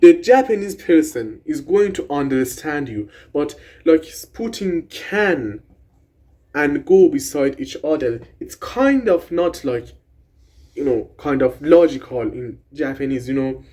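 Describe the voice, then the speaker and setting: A young man whose first language is Persian, casually explaining grammar in an excited, rambling way with restarts and swearing. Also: fairly high pitch, slow. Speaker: language vlogger.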